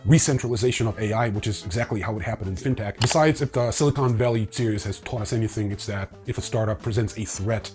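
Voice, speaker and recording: A man's voice: on the low side, fast talker, hardly any pauses, darkish tone, soft background music. A man hosting a news rundown in a casual, opinionated way with wry asides. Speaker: host of a crypto news show.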